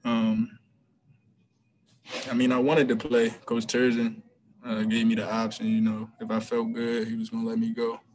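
A young man, a college basketball player, answering press questions after a game over a video call, relaxed and hesitant with many ums and restarts. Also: medium pitch, normal speed, pauses often, slight background noise. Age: young adult. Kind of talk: postgame press conference answers. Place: small room before a team backdrop. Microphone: video call webcam.

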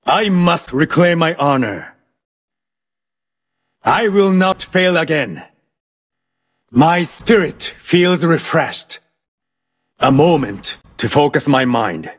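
A man's voice: on the high side, lively intonation, slow pace, pauses often, breathy edge, exaggerated voice. A man voicing a grave, intense shinobi exorcist who fights an inner demon, in the style of video game voice lines. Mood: solemn, resolute. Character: solemn male shinobi exorcist battling his inner demon.

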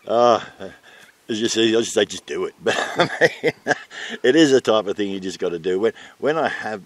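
Older Aussie male, low-pitched, plain-spoken and halting, relaxed interviewee chat in the open air. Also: no room sound. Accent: Australian. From New South Wales, Australia. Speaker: retreat owner being interviewed. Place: outdoors at a garden table in bushland.

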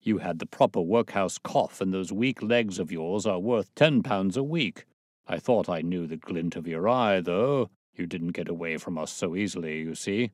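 Man as a deep, bluff police officer. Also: fairly even intonation, brisk, few pauses, no echo, studio quality, close to an everyday voice. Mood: delighted. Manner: hearty, emphatic. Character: bluff, hearty, self-important male police officer.

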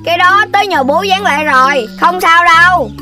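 A woman's voice: very high-pitched, a little fast, sharp tone. A woman voicing a small, earnest animal child in a children's cartoon, inquisitive and childlike. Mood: friendly. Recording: slightly distant voice with music behind.

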